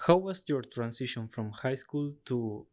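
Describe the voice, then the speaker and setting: A woman's voice: very deep voice, expressive, unhurried, darkish tone. A woman hosting a podcast interview, prompting her guest with short questions and brief backchannels. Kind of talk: casual interview conversation.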